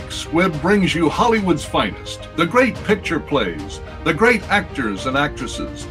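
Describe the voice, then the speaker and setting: A male announcer reads in a formal 1940s radio style. His fairly high voice is grand and declamatory, swinging widely in pitch. Clear music plays behind him.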